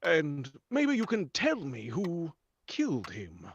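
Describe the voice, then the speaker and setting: A man's voice: fairly high pitch, huge pitch swings, slow pace, full character voice. A man playing a stubborn, sceptical and suspicious house buyer in 1940s radio drama style, on a lo-fi recording. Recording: dull lo-fi sound with faint noise.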